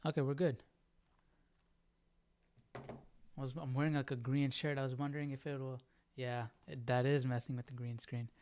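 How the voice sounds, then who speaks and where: A man hosting a live Bible study in a casual, halting, conversational way, often checking in with listeners as he explains. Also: medium pitch, flat delivery, darkish tone, a little hiss or hum. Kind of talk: casual livestream Bible teaching.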